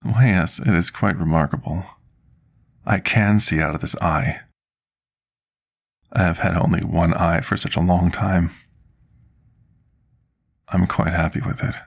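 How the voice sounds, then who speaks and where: A man playing a gentle, timid potion master in a soft-spoken ASMR roleplay, husky and gravelly, formal and deferential. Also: low-pitched, fairly even intonation, unhurried, pauses often, full character voice. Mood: humble, hesitant. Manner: formal, polite, submissive, archaic wording.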